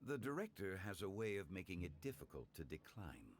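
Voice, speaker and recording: A man playing a composed, erudite gentleman in a video game, speaking with formal, measured eloquence. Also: low-pitched, big pitch swings, a little hiss or hum. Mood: calm. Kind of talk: game cutscene acting. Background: background music.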